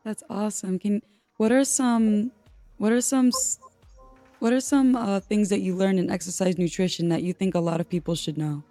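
A woman's voice: mid-range voice, fairly even intonation, moderate pace, dark tone, no room sound, soft background music. A woman hosting a podcast, introducing her guest and asking questions in an upbeat, encouraging way. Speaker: podcast host.

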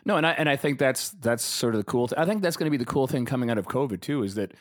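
A middle-aged Canadian man chats easily as an interview guest, laughing often and stumbling over repeated words. His voice sits at an ordinary pitch, and the recording is dry and close. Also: lively intonation, racing, no room sound. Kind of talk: casual conversation.

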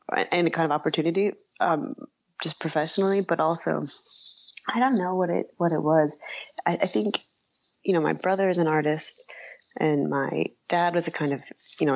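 A woman with a low voice and plenty of vocal fry answers interview questions in a casual way. She sounds tentative and self-effacing, often searching for the right phrase. The audio is dry and somewhat muffled. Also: a little mellow. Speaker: interview guest, writer and author.

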